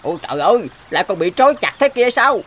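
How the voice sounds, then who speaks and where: A worried village man in a cartoon folktale, voiced by a man. He exclaims and laments with pity, his pitch moving up and down over music. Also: very animated, fast talker, fairly bright tone. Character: pitying, alarmed male village onlooker. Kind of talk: dubbed folktale cartoon acting.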